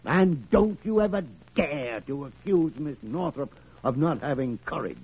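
An actor playing a gruff, commanding older doctor with a fatherly streak, in theatrical old-time radio drama style. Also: fairly high pitch, expressive, a little slow, heavily acted. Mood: stern, scolding. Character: gruff, blustery older male senior doctor, fatherly to patients. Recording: tinny, band-limited old radio recording.

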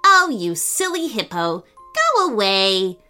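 A woman's voice: medium pitch, very animated, slow pace, bright, forceful tone, faint music behind, full character voice. A woman voicing a bossy, scornful female swan in a storybook, mocking and shooing.

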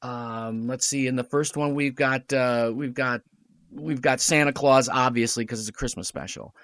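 A man's voice: medium pitch, steady tone, moderate pace, fairly bright tone, no room sound. A middle-aged man telling stories casually as a guest in an interview, chatty and fond of quoting conversations. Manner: chatty, recounts conversations in quoted dialogue. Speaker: radio play writer and director, podcast guest.

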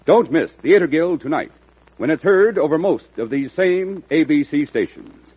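Male announcer, vintage radio promo style, very animated pitch, husky, phone-like sound. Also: normal speed. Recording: tinny, narrow-band old radio recording.

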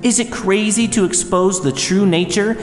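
A man playing an intense, arrogant psychology professor obsessed with fear, lecturing theatrically with deliberate emphasis in an audio drama. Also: sits high, lightly acted. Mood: smug, menacing. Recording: roomy hall reverb, music underneath.

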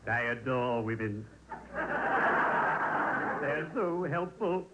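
Genteel macabre undertaker, grandly polite, vintage radio comedy, heavy noise and clicks. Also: male voice, mid-range voice, expressive, slow. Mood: genteel, droll. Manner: florid, formal, macabre drollery. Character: friendly, ghoulishly cheerful undertaker, grandly polite man. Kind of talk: 1940s radio comedy acting.

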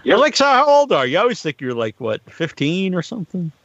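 A man's voice: sits high, huge pitch swings, a bit bright, dry recording. Rambling man hosting, dull dry audio. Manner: rambling, halting, crude humour. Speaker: podcast host. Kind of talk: casual chat, joking. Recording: muffled lo-fi online stream audio.

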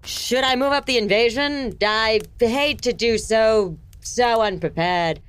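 A woman's voice: a little high, slow, clear, resonant tone. A woman playing a haughty, short-tempered, wicked empress with commanding theatrical delivery in a fantasy audio drama. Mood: scheming. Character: imperious, irritable, wicked fairytale empress tyrant.

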